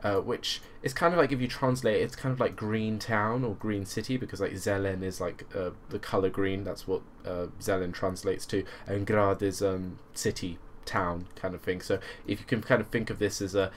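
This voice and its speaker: A man's voice: low voice, steady tone, normal speed, few pauses, slight background noise. A man hosting a gaming video, talking through the gameplay in a casual, rambling way and leaning on lots of "kind of" hedges. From Croatian background.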